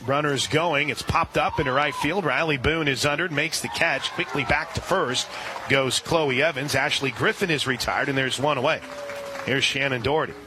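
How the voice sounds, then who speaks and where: A man calls a college softball game on the radio, giving counts, stats and sponsor reads in a steady, informative way. His voice sits a little high for a man. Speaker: radio play-by-play announcer. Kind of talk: radio play-by-play commentary.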